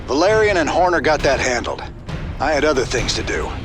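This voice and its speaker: A man playing a gruff, loyal, wry rebel soldier in a video game, with a casual way of talking. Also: on the high side, big pitch swings, fairly quick, over music. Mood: determined. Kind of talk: dramatic video game acting.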